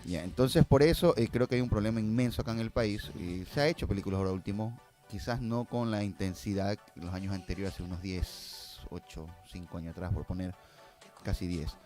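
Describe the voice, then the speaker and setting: A man's voice: on the low side, brisk, darkish tone, faint music behind. A man with an Ecuadorian accent answering as a filmmaker guest in a conversational, informal, slangy interview style.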